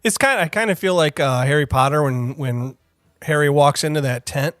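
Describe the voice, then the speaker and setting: A man's voice: fairly high pitch, fairly quick, fairly bright tone, dry recording. A man co-hosting a podcast in casual interview chat, joking and enthusiastic. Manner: jokey, enthusiastic. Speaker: podcast co-host. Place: indoors at a small theatre.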